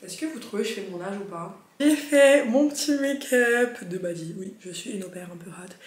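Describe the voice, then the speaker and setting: A young woman vlogging as an au pair, chatting in a lively, slangy way. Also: medium pitch, normal speed. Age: young adult. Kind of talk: casual chat. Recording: very echoey room with music.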